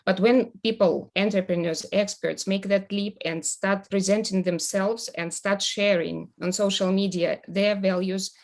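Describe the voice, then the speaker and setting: A woman hosts an online talk about personal branding. She introduces her guest in an upbeat, measured way. She then moves the discussion along with questions. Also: medium pitch, fairly even intonation. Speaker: host.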